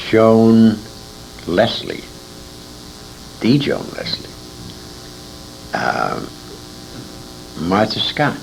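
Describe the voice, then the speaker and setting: An older American man being interviewed, reminiscing in a rambling way as he searches his memory for names. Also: creaky. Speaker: interviewee, former radio actor. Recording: noisy amateur tape with low rumble.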